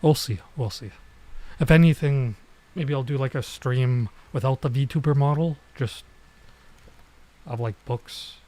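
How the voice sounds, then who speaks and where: A man doing casual let's-play commentary as a streamer, thinking aloud in a halting way and mixing in Japanese phrases. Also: medium pitch, darkish tone.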